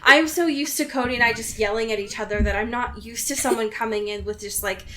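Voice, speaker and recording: A woman's voice: on the high side, fast talker, a bit bright, rough recording. A young woman co-hosting a podcast, teasing and joking casually, often laughing and now and then swearing. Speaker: podcast co-host.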